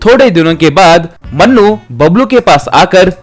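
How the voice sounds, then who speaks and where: A man's voice: high-pitched, lively intonation, unhurried, soft background music. A man reading a scripted moral tale as a storytelling narrator.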